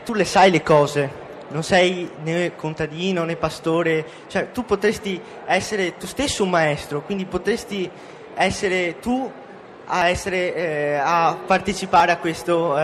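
Teenage boy, student actor, hesitant, very slow, faint noise. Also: a little high. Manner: hesitant, many fillers. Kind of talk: interview answers.

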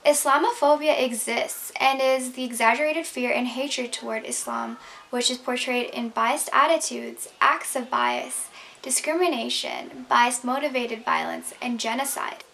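A woman's voice: high-pitched, steady tone, measured pace, gravelly, a bit bright, slight background noise. A young Canadian woman, Urdu her first language, earnestly explaining a prepared talk to camera from her bedroom. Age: young adult. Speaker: speaker. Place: bedroom, indoors.

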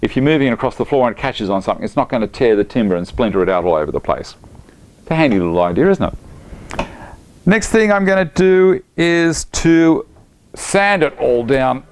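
A man's voice: mid-range voice, lively intonation, fairly quick, a little mellow, a little hiss or hum. A middle-aged man, chatty and enthusiastic, explaining a woodworking project step by step in his home workshop. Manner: chatty, enthusiastic, talks while working. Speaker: woodworking instructor.

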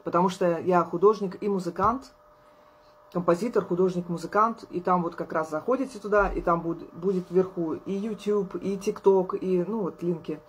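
A woman in her thirties, an artist vlogger, chatting casually to camera at a table indoors, tired and low on energy but friendly, laughing often. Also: normal speed, slight background noise. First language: Russian. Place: indoors at a table, small room.

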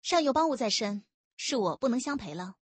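Proud female gang leader, played by a narrator: formal, poised, subtly sarcastic, higher voice, historical audiobook. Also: expressive, brisk, breathy edge, dry recording. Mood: polite sarcasm. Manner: formal, courteous with veiled sarcasm.

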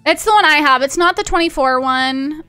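A young woman with a high, bright voice chats informally on a live game stream. Her speech is casual and peppered with "like," and faint music plays behind her. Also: normal speed. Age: 20s. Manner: chatty, informal, frequent "like". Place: small indoor room at home.

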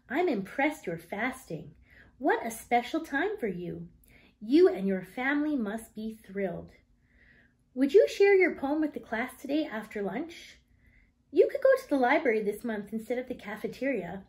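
A kind, encouraging lady teacher from a picture book, read by a woman. She gives praise emphatically in a fairly high voice with lively, varied intonation. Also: normal speed. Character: kind, encouraging female schoolteacher writing a note.

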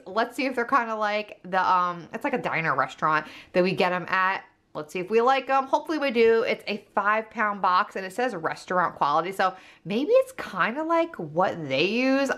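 A woman's voice: medium pitch, fairly bright tone. Chatty woman narrating casually. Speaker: vlog host. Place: indoors at home, kitchen.

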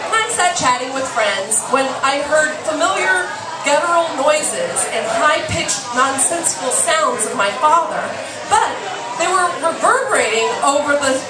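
A woman's voice: sits high, animated, moderate pace, far from the mic, over a noisy crowd, very noisy. A woman in her forties reading a memoir aloud on stage with a theatrical, emphatic delivery. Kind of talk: live storytelling, reading a memoir excerpt aloud. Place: small dim bar stage, indoors. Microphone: stand microphone, filmed from the audience. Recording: roomy stage PA heard from off, music.

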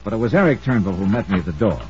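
An American actor playing a wry, tough insurance investigator, cool and pressing, in an old-time radio detective drama. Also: male voice, fairly low pitch, very animated, rapid-fire, darkish tone. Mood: wry narrating. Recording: muffled, noisy old radio recording.

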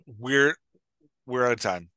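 A man's voice: medium pitch, takes it slowly, bright, forceful tone, no room sound. A man in his forties co-hosting a casual podcast discussion in a playful, joking manner, speaking into a headset mic on a video call. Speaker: podcast host and dialogue coach. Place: indoor room at home, video call.

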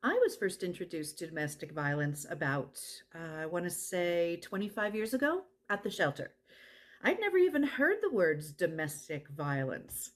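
A woman's voice: on the low side, big pitch swings, moderate pace. An actress playing a passionate, plain-spoken woman who runs a support center, in dramatized testimony. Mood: reflective, frank. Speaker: actor performing a monologue.

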